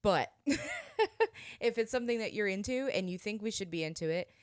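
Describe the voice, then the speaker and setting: A woman's voice: mid-range voice, brisk, smooth, no room sound, clean studio sound. A woman co-hosting a podcast in casual conversation, often repeating phrases for emphasis. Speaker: podcast co-host. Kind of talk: casual chat reviewing films and books.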